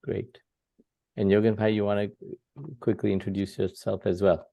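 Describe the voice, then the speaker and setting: Low, flat-toned man leading a class. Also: normal speed, gravelly, no room sound. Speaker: session host. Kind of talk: informal religious class presentation.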